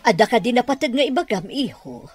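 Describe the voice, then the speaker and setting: A woman's voice: fairly high pitch, big pitch swings, fairly quick, soft background music. An actress playing an exasperated older mother in a radio drama, scolding and fretful.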